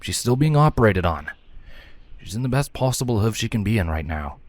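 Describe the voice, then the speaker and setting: Casting: caring, firm pony doctor, male reader, low pitch, calm bedside manner, storybook fantasy. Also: fairly quick, no room sound. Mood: soothing. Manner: calm, gently insistent.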